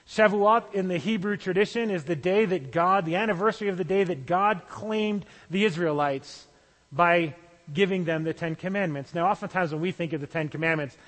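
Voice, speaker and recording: High-voiced male pastor in a reverberant hall. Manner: conversational, with small restarts. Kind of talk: sermon.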